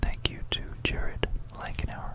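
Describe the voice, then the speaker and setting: A breathy, unvoiced whisper from an ASMR host, recorded close on a stereo microphone. The delivery is very slow and hushed, with a conspiratorial manner. It is educational narration meant to be relaxing. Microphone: close stereo binaural microphone.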